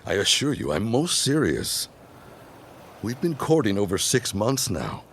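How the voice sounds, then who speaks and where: An actor playing a suave, ambitious gentleman suitor of 1916 in a period audio drama, with courtly and grandiose formal speech. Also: on the low side, sing-song, a little slow, heavily acted. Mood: ardent. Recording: clear background ambience noise.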